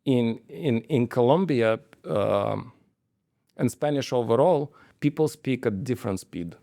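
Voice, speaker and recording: A man's voice: mid-range voice, expressive, a little slow, mellow, no echo, studio quality. A man, a company manager telling stories in an interview, hesitant, with many fillers and restarts. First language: Romanian. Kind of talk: conversational interview storytelling.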